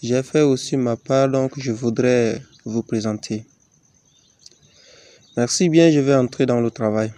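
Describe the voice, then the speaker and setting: A young man from Chad, a high school student presenting his assignment, hesitant with fillers. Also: measured pace, long pauses, dark tone, no echo.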